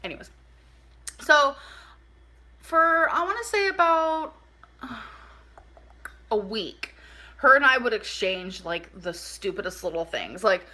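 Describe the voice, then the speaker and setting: A woman chatting casually through a personal story for a vlog, animated and informal, saying "like" a lot and acting out bits of dialogue. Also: a little high, takes it slowly, long pauses. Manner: animated, informal, acts out dialogue, heavy use of "like". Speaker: vlogger telling a personal story.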